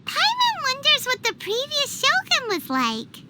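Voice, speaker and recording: A woman playing a tiny, bubbly, childlike floating fairy companion with a squeaky voice, in video game voice acting. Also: huge pitch swings, unhurried, sharp tone, slight background noise, exaggerated voice. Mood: earnest. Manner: bubbly, refers to herself in third person.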